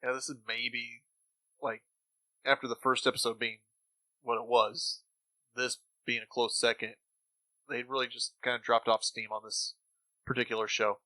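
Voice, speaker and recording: A man with a bright, edged voice talks as a podcast co-host. He gives opinions in an informal, conversational way at a middling pitch. The recording is tight and dry. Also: flat delivery, moderate pace, long pauses, no echo, poor audio. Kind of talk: casual critical discussion of old radio shows.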